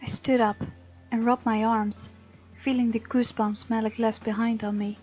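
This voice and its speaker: A woman's voice: on the high side, flat delivery, normal speed, darkish tone, muffled recording. A woman let's-play host reading visual novel narration aloud expressively, with informal asides. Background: faint game music.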